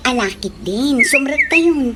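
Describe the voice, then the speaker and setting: A woman's voice: high-pitched, animated, measured pace, very noisy. A woman playing a peacemaking grown daughter in a comedic radio drama, coaxing with an Ilocano accent. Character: peacemaking grown daughter calling her mother inside.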